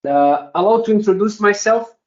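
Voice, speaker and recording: A man's voice: sits high, normal speed, lo-fi sound. A man from Brazil with a Brazilian Portuguese accent, a non-native English speaker, giving a conference presentation with many hesitant filler sounds.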